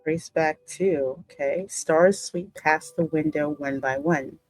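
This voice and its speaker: A woman with a notably low voice hosts a gaming video, reading narration aloud and dropping into casual chat. Soft music sits clearly behind her voice. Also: a little slow, dry recording, low-quality recording. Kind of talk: reading game story aloud, casual reactions.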